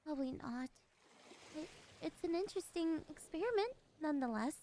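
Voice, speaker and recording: A high, feminine siren voice, acted out by a woman for an ASMR roleplay, with some noise behind it. She is lonely and curious, coaxing the listener wistfully. Beneath the politeness she is possessive and quietly menacing. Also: animated, normal speed. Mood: shy, hopeful. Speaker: voice actress.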